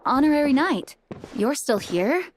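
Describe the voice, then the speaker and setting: A woman's voice: sits high, big pitch swings. An actress playing a dutiful, composed knight leader in a fantasy game, speaking formally with music behind her. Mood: pensive. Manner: formal, measured phrasing. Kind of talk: fantasy video game acting.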